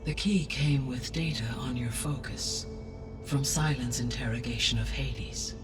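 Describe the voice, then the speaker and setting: Distant, composed AI. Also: female voice, a bass voice. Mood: calm, analytical. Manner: measured, formal, precise. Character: composed female artificial intelligence, learned and helpful. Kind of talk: video game dialogue. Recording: distant sound, clear game music behind. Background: music.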